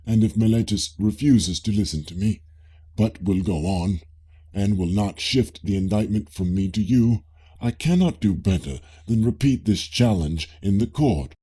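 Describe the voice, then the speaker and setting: A man reads as an inquisitive philosopher of ancient Greece in an audiobook dialogue. His voice is lowish, slightly dark and husky, and he questions in a formal, earnest, mock-humble way. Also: normal speed.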